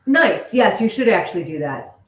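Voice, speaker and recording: A woman's voice: mid-range voice, very animated, normal speed. A woman chatting casually and informally with viewers as co-host of a live stream. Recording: echoey room.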